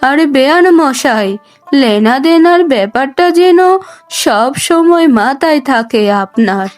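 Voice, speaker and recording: A woman's voice: high voice, expressive, measured pace, soft background music. A woman voicing a greedy, scolding middle-aged mother-in-law in a cartoon, with a commanding manner. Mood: demanding. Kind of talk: Bengali cartoon voice acting.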